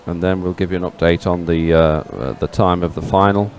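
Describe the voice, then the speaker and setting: A man giving live sports commentary in a chatty, hesitant, partly ad-libbed way with frequent pauses. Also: low-pitched, fairly even intonation, faint music behind. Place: live broadcast at an outdoor regatta venue.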